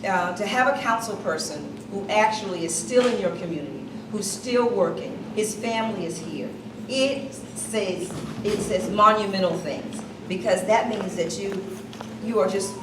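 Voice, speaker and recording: A woman giving a loud, projecting speech about an honoree at a community reception, at times off script. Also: mid-range voice, moderate pace. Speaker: speaker presenting an honoree. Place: hall at a museum reception. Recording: echoey hall recorded from far off with noise.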